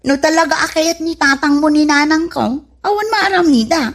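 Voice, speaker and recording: Woman acting a very high, creaky, giddy flirt. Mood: matter-of-fact. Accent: Ilocano. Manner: giggly, wailing, drawn-out teasing. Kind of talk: Ilocano comedy radio drama acting.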